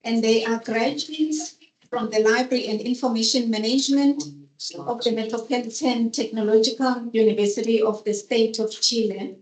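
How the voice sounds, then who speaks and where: A woman's voice: fairly high pitch, steady tone, moderate pace. A woman moderating a seminar, introducing speakers in a hesitant way with many fillers. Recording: echoey conference room.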